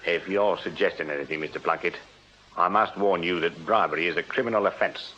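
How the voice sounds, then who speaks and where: Stern Victorian detective sergeant, bright forceful voice. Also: male voice, deep voice, fairly quick. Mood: dry, stern. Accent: English. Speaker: actor. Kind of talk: British radio drama acting. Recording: dull radio drama sound with noise.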